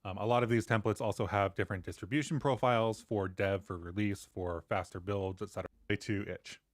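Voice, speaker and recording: A man presenting a software tutorial in a conversational narrating style. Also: lowish voice, steady tone, fairly quick, no room sound, studio quality.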